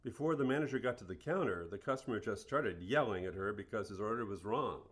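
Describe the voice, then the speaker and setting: An actor playing an earnest male fast-food store manager being interviewed in a radio play, plain-spoken and matter-of-fact. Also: lowish voice, animated, a bit bright, breathy edge.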